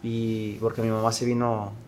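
A young man, the eldest son, recalling his childhood as an interview guest in a hesitant, soft-spoken way. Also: fairly low pitch, little pitch movement, moderate pace, a crowd in the background. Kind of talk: interview, recollection.

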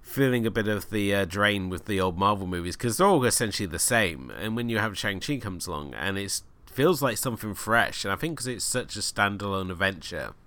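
Deep-voiced man chatting about films. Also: animated, brisk, few pauses, fairly bright tone. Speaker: film review co-host. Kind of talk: casual chat.

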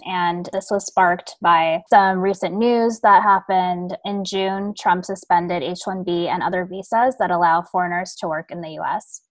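Slightly low-voiced woman introducing a podcast. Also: dry recording. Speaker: podcast co-host. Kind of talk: podcast introduction, semi-scripted.

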